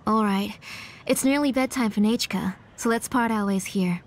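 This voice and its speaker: A woman playing a gentle but strict, protective mother in a video game, speaking in a courteous, measured and firm way. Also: mid-range voice, slight background noise, close to an everyday voice. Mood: courteous, composed. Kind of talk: game dub acting.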